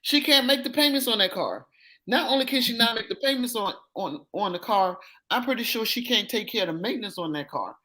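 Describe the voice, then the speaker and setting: A woman in her fifties with a deep, powerful voice, talking casually in a gossip chat. She is blunt and emphatic, and she hammers her points home by repeating them. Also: expressive, fairly quick, bright, forceful tone. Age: 50s.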